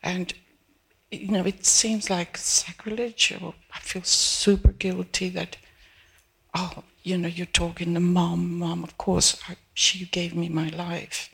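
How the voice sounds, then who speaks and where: An older woman in her seventies from Peru speaks with a gravelly, very raspy voice that holds a flat, even pitch. She talks hesitantly, with soft restarts, as an audience member at a live event. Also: takes it slowly. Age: 70s. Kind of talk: halting personal testimony.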